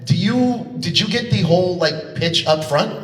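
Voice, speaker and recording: A man's voice: high-pitched, normal speed, faint music behind. A man moderating a convention panel with casual interview questions. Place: large convention hall panel. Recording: echoey hall, distant PA sound.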